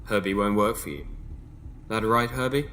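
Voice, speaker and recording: A man's voice: on the low side, moderate pace, a bit bright, slight background noise, voice pushed down, natural voice. A man voicing a cocky, wisecracking male convict leader in an audiobook, breezy and sure of himself. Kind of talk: audiobook character voice.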